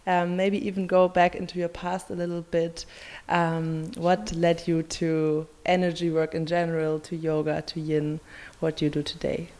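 A woman's voice: fairly low pitch, completely flat delivery, moderate pace, a little mellow. Bubbly, laughing woman podcast host. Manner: bubbly, laughs often, many fillers. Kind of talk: casual podcast interview.

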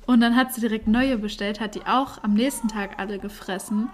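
A woman's voice: mid-range voice, fairly even intonation, moderate pace, heavy background noise. Chatty woman podcaster, laughs a lot. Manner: chatty, laughs often, many fillers. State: a bit tired. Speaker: podcast co-host. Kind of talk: casual chat.

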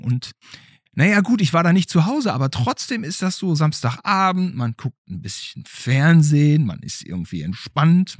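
A man, middle-aged, hosting and telling stories in a casual, chatty way with a husky voice. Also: fairly high pitch, no room sound, studio quality. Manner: animated, chatty. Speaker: host. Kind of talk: casual storytelling and chat with a child.

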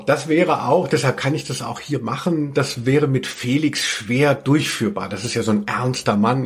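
A man's voice: mid-range voice, husky. A man co-hosting a casual comedic podcast in a playful, tongue-in-cheek way. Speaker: podcast co-host.

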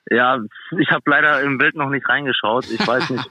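A man's voice: mid-range voice, moderate pace, bright, forceful tone, no room sound, poor audio. A man being interviewed casually as an athlete guest, speaking in a hesitant, plain-spoken way. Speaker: guest, professional ice hockey player.

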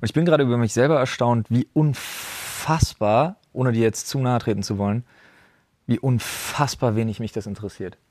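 Male co-host, average pitch, very dry recording, emphatic and blunt in casual podcast discussion. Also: moderate pace, darkish tone, no room sound. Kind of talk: casual discussion among friends.

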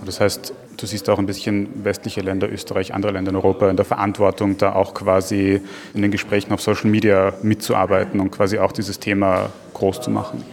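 A man interviews a guest for a news podcast. His voice sits somewhat low, and he puts his questions briskly and matter-of-factly. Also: completely flat delivery, gravelly. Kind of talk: podcast interview and scripted intro.

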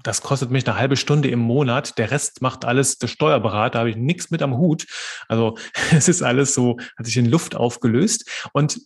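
A man in his thirties, born in Belgium, chats as a guest on a founders' podcast. His voice sits in the middle range and has a slightly husky, breathy edge. He tells his story in a lively way, full of fillers and restarts. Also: medium pitch, normal speed. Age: 30s. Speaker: podcast guest, copywriter and trainer. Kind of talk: casual interview chat.

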